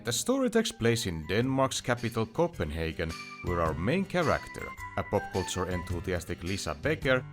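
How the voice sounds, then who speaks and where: A man reviewing a webcomic in scripted narration, with a wry, theatrical manner. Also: mid-range voice. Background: music under the voice.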